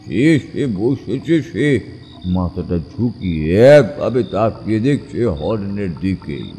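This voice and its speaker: An actor playing a haunted man retelling a terrifying ghost memory in a horror audio drama, halting and full of dread, with dramatic pauses. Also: mid-range voice, darkish tone, long reverb tail.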